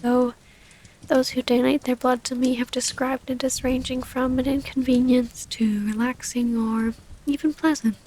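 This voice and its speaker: Woman acting a tender vampire lover, half-whispering, higher-pitched. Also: level tone, a little mellow, rough recording. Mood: gently explaining. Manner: breathy half-whisper, soothing, hesitant pauses. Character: tender female vampire lover, devoted, gently predatory, wistful. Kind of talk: intimate ASMR roleplay. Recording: close-up with rain ambience, faint noise.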